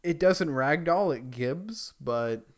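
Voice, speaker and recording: A man co-hosting a podcast in casual gaming chat, with a hesitant, halting delivery. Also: a little high, lively intonation, dull audio. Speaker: podcast co-host.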